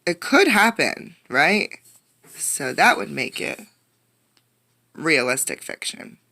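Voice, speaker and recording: A woman reading a picture book aloud as a teacher, expressively, pausing to put questions to young listeners. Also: low-pitched, gravelly, dry recording. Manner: expressive storytelling, addressing young listeners directly. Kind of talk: interactive children's read-aloud with questions.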